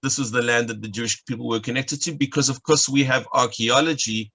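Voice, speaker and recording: A man from South Africa with a South African accent, an educator giving a lecture over a video call, explaining in a brisk way with fillers. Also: mid-range voice, steady tone, bright, forceful tone. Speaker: educator and guide. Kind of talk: online lecture. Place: home, at night.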